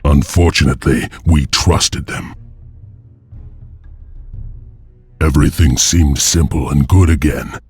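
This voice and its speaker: An actor playing a solemn, vengeful heir of an enslaved warrior clan, speaking gravely and deliberately in a dramatic video game style. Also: male voice, rumbling low, faint music behind. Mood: bitter. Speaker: story narrator and lead character. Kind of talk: dramatic scripted game narration and dialogue.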